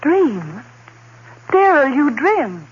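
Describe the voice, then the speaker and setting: A devoted young sweetheart in a vintage radio drama. Her voice is high, with a theatrical, solicitous, coaxing way of speaking. The sound is dull, with a faint hiss. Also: big pitch swings, very slow, exaggerated voice. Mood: amused teasing. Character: devoted, concerned fiancée, tender and teasing. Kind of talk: old-time radio drama acting.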